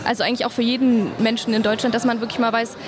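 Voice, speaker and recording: A woman's voice: on the high side, little pitch movement, very fast. A young woman, a school student, giving a casual interview answer. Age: young adult. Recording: busy crowd and street noise behind.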